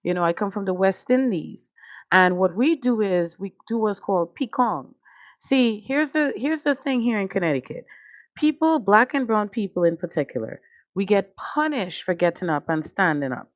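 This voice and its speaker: Woman host, mid pitch, passionate and direct, dead-dry room. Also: expressive, moderate pace, no echo, dull audio. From Trinidad and Tobago. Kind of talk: casual panel discussion.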